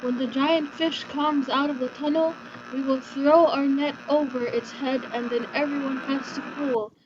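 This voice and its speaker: A woman's voice: high-pitched, fairly even intonation, normal speed, natural voice. A woman playing a resolute wise village woman who leads and rallies others with a commanding, declamatory delivery in a dramatized folktale. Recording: dull lo-fi recording with loud hum and buzz.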